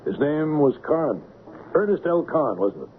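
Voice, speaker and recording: An actor playing a shrewd, persistent police lieutenant in an old radio crime drama, with a dry, probing manner. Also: male voice, a little high, big pitch swings, measured pace. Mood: pressing, tough. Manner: dry, probing, hesitant lead-ins. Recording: narrow, noisy old radio broadcast.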